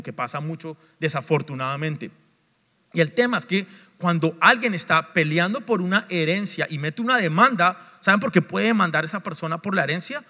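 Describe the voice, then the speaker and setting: Man, pastor, high voice, emphatic sermon, repeated refrains, long church reverb. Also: bright, forceful tone. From Latin America. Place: church sanctuary.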